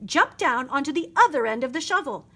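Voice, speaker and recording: A woman plays a clever boy beaver from a picture book. He is practical and good-humored, with a high voice. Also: expressive, brisk, fairly bright tone. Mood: clever, confident.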